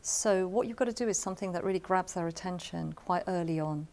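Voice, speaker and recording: Female drama producer, slightly low register, explanatory and emphatic interview delivery. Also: brisk, darkish tone. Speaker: radio drama producer giving writing advice. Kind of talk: spoken advice to camera in an interview.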